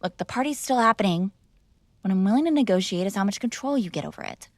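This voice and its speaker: A woman's voice: medium pitch, animated, quick pace, no echo, studio quality, close to an everyday voice. A woman playing a spoiled, defiant mob boss's young daughter in a conversational, argumentative ASMR roleplay. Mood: firm, negotiating. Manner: conversational, sighing, coaxing and argumentative. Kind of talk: ASMR girlfriend roleplay, one-sided conversational acting.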